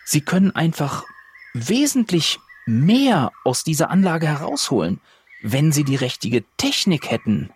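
A man's voice: on the high side, very animated, a little slow, breathy edge, full character voice. A man playing a crafty, persuasive old craftsman in a tabletop game.

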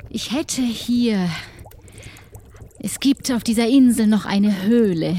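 A woman playing a solitary, mysterious hut dweller who guards pirate ghosts in a tabletop game, speaking deliberately in formal, old-fashioned words. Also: fairly high pitch, warm tone. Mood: bargaining. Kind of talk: improvised tabletop roleplay.

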